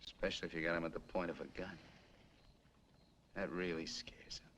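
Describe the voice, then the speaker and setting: An actor playing a bitter, menacing armed killer in 1950s film noir style, with an American accent and tough, slangy talk. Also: male voice, deep voice, fairly even intonation, a little fast, fairly bright tone. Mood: bitter, boastful. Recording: noisy old film soundtrack.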